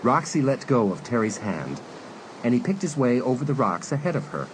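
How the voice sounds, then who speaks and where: A man acting as the measured storyteller of a suspense audio drama, reading scripted narration. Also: mid-range voice, big pitch swings, noisy background, close to an everyday voice. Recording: very loud ambient sound effects behind.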